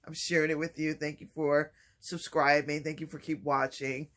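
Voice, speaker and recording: A man talking softly and haltingly in a personal vlog, with many pauses and restarts. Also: level tone. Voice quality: low voice. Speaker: vlogger. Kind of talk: personal vlog, reflective talk.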